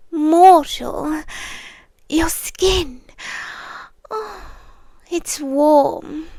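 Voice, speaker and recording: British woman doing a seductive yandere vampire gf asmr, high breathy voice, whispery, super close and dry, intimate. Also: no echo, heavily acted. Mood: tender, predatory. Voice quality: breathy, often dropping into whispers. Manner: slow, intimate, lingering delivery. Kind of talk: ASMR roleplay.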